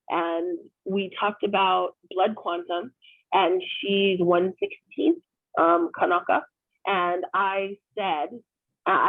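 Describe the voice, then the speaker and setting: Woman host, 30s, video call, dry tinny audio, gravelly edges, casual and frank. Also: fairly low pitch, no echo. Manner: conversational and candid, frequent "um". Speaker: discussion host. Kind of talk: hosting an online group discussion.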